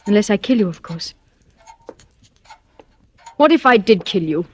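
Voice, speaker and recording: A woman's voice: mid-range voice, lively intonation, unhurried, a little hiss or hum, natural voice. An actress playing a desperate, defiant woman with a secret past in an old-time radio thriller, intense and clipped. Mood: grim, defiant. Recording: vintage radio drama recording.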